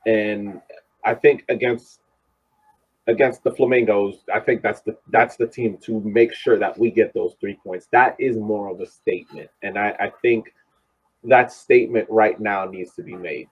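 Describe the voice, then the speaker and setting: Male sports podcast co-host, lower register, emphatic and repetitive for stress, slightly lo-fi sound. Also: fairly even intonation, normal speed, husky. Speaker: podcast co-host, soccer coach. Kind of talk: casual sports discussion. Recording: slightly dull, lo-fi audio.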